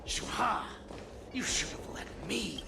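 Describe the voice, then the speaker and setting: A man voicing a hot-headed, haughty, violent henchman in a video game, snarling theatrically in a gravelly voice. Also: sits high, expressive, slow pace, background noise, heavily acted. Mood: angry. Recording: roomy echo with music behind.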